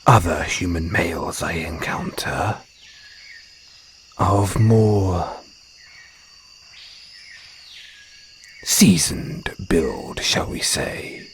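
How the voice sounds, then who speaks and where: A man voicing a male jungle naga, guarded but tender and flirtatious, in an intimate audio roleplay with slow, formal phrasing. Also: low voice, slight background noise. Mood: admiring, teasing. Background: crickets and jungle insect sounds.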